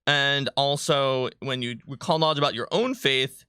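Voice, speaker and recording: Bright-voiced man explaining game rules. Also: mid-range voice, normal speed, clear, resonant tone, no echo, clean studio sound. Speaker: game designer co-hosting a live stream. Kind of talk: casual tabletop rules explanation.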